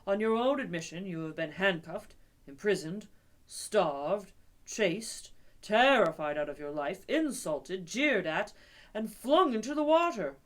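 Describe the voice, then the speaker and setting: A woman's voice: medium pitch, animated, unhurried, close to an everyday voice. A woman voicing a stern, earnest male water rat who scolds his friend emphatically. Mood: scolding.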